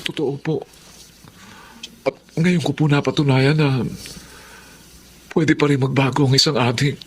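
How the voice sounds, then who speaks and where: An actor playing a remorseful, humble reformed husband in a Filipino drama, with an earnest, respectful manner. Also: male voice, mid-range voice, steady tone, a little slow, a little hiss or hum, natural voice.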